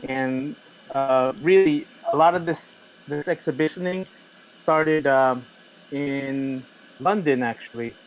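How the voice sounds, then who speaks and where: A man's voice: mid-range voice, takes it slowly, dull audio, slight background noise. A man co-hosting a casual podcast chat, talking loosely with many fillers and restarts. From United States. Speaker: podcast co-host.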